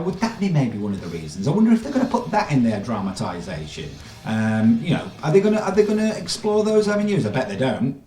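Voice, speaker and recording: A man delivers informal opinion commentary in a sardonic, disbelieving manner, leaning on rhetorical questions. His medium-pitched voice sounds distant from the microphone in an echoey room, with music present. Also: a little mellow. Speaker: vlogger giving commentary on news. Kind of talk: casual opinion commentary, reading an article aloud.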